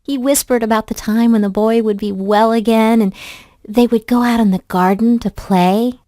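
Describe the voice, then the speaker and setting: A woman reading a children's story aloud in an expressive, deliberate storybook manner. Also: a little high, no echo, clean studio sound.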